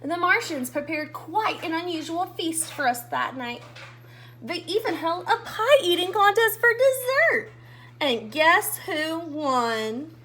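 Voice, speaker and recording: A woman's voice: very high voice, sing-song, takes it slowly, sharp tone. A woman reading a picture book aloud for children as a storyteller, expressively, talking directly to her young listeners. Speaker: read-aloud host, storyteller.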